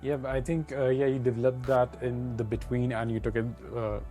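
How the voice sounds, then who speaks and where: A male interviewer with a mellow, relaxed voice chats casually at a middling pitch. He thinks aloud as he goes, and faint music plays behind him. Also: brisk. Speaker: interviewer, host.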